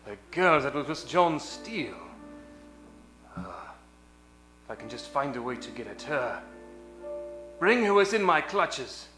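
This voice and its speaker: Melodramatic radio villain, scheming and pompous, animated pitch, echoey room with music behind. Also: male voice, unhurried, long pauses, full character voice. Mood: brooding scheming. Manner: melodramatic, muttering then bursting out. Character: scheming, pompous male villain who runs a burlesque diner. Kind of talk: comic old-time radio play acting.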